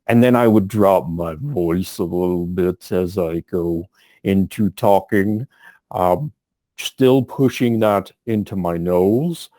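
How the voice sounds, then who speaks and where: Man doing a deep, nasal, lumbering elephant-man. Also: mellow, dry recording. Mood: slow, ponderous. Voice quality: deep, nasal, heavily breathing through the nose. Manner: very slow, drawn-out speech. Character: big slow Loxodon elephant-man with a trunk.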